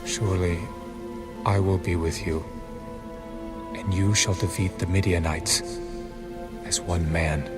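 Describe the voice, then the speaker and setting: Deep, solemn angel of the Lord. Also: male voice, steady tone, takes it slowly, long pauses, loud music behind the voice. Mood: commanding. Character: solemn, commanding male angel of the Lord, divine messenger. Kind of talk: dramatized scripture audio drama.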